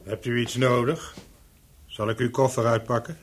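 An actor playing a modest, obliging hotel servant of seventy in a radio play, deferential, with a creaky, husky voice. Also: male voice, medium pitch, little pitch movement, close to an everyday voice. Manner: slow, deferential.

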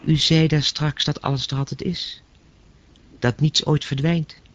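A man's voice: fairly high pitch, level tone, measured pace, a bit bright, lo-fi sound, a little hiss or hum. A man playing a bewildered, uneasy ordinary man in a radio play, asking hesitant, sceptical questions. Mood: nervous, hesitant. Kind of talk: naturalistic radio drama dialogue.

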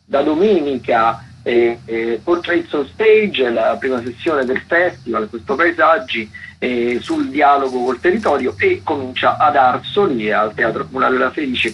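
A man, a theatre critic giving a halting, rambling, unscripted radio rundown of events. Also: mid-range voice, fairly bright tone. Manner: halting, rambling, filler-heavy. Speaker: theatre critic, weekly listings contributor. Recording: dull lo-fi line, faint noise.

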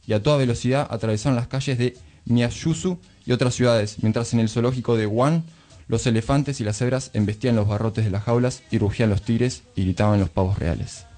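A man with an Argentine accent co-hosting a radio show in a casual style, with some halting delivery. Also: mid-range voice, warm tone. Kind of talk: casual radio talk and reading aloud. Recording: music playing under the voice. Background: background music.

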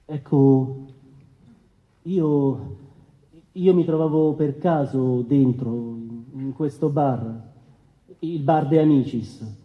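An actor playing an evasive male defendant in a radio courtroom drama, testifying in halting, repetitive, colloquial phrases. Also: mid-range voice, close to an everyday voice. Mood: hesitant. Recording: thin phone-like band-limited sound.

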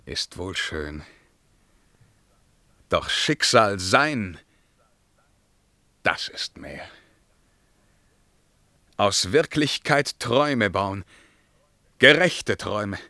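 A male actor playing a brooding, lyrical emperor in a radio play, reciting verse solemnly and swinging between hushed murmurs and ringing outbursts. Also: lowish voice, no echo, full character voice.

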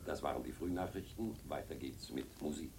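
A man's voice: very deep voice, a little fast, darkish tone, faint music behind. An actor playing a formal male news announcer reading a scripted radio bulletin. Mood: formal, matter-of-fact. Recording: noisy radio broadcast with clicks.

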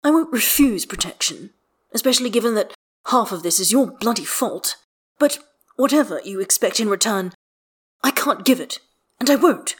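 A woman's voice: a little high, lively intonation, normal speed, voice pushed up. A woman voicing a guarded, sardonic man in a story, with biting, mistrustful delivery. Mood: bitter, hissing.

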